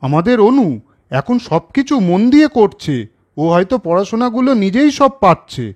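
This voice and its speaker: Man playing a very high-voiced principled big brother. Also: big pitch swings, normal speed. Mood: concerned. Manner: questioning, gently lecturing. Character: caring, principled older brother, an office worker. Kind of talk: children's cartoon story dialogue.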